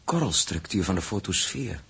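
Male astronaut, very husky, lively intonation, inquisitive reasoner for a vintage sci-fi radio play. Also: sits low, moderate pace, exaggerated voice. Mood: musing. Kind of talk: 1960s Dutch radio drama acting. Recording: muffled-edged old 1960s radio recording.

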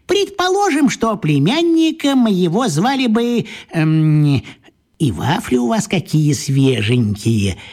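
A sly, husky little old wizard. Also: male voice, high-pitched, a little mellow, full character voice. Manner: coaxing, crafty, folksy. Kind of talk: children's radio play acting.

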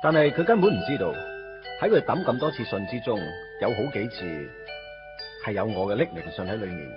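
An actor playing a lovesick, self-deprecating teenage schoolboy in a radio drama, confiding and wry, in Hong Kong Cantonese. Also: male voice, lowish voice, expressive, fairly quick, lightly acted. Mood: wistful. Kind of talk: radio drama narration and dialogue. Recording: dull lo-fi radio recording with music.

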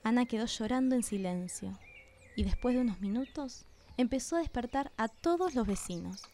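A woman's voice: a little high, expressive, normal speed, mellow, a little hiss or hum. A woman reading scripted narration for a radio drama.

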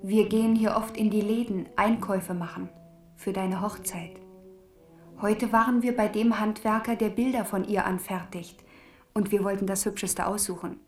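An earnest young princess played by a woman, her voice ringing with echo. She confides a letter to her brother in clear dramatic phrases, in the manner of a radio play. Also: medium pitch, fairly even intonation, normal speed, a little mellow, faint music behind, close to an everyday voice. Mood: earnest, confiding. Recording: echoey letter-reading sound.

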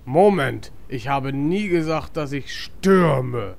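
A man acting a cheeky, clueless tabletop player in a comedy audio drama, with a flippant, deadpan manner. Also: mid-range voice, slow, creaky, slight background noise. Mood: cocky.

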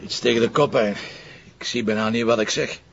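Casting: male actor as harried, wry Flemish commissioner, TV crime drama, fry in the voice, slightly muffled recording. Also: medium pitch, steady tone, brisk, close to an everyday voice. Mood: weary, wry. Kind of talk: naturalistic television crime drama acting. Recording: muffled audio with faint background noise.

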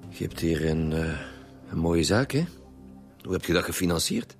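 An actor playing a gruff, stubborn veteran chief inspector with a Flemish accent in a radio drama, blunt and irritable. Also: male voice, deep voice, animated, moderate pace, faint music behind. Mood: confrontational.

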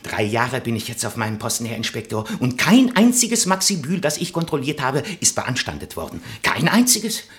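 An actor playing an eager, naively proud factory checker in a 1960s German radio comedy, polite and effusive, stammering when flustered. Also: male voice, medium pitch, big pitch swings, moderate pace, few pauses, natural voice. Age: 40s. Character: eager, pleading, proudly naive village-born factory inspector.

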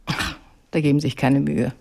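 An actress playing a self-possessed, coolly flirtatious middle-aged painter and mother in a radio drama, deliberate and teasing. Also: sits low, level tone, gravelly, darkish tone.

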